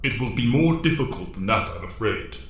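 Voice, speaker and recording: An actor playing a stern, fatherly alien mentor in an audio drama, speaking formally and with measured authority. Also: fairly low pitch, sing-song, a bit bright, echoey room. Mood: grave, instructive.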